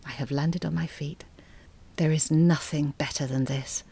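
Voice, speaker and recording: A woman playing an articulate, bitter middle-aged mother in an audio drama monologue, speaking in a measured, intimate way. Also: sits low, fairly even intonation, gravelly, dark tone, husky, full character voice. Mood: wistful, contented. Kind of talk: dramatic monologue, letter read as confession.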